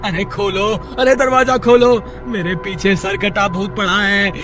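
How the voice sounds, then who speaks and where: A man's voice: high voice, fairly even intonation, measured pace, music in the background. A man voicing a frightened village man in a horror cartoon, pleading in a frantic, whiny manner. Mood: panicked. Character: panicky, pleading unmarried village man fleeing a ghost. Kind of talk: Hindi horror cartoon character voice.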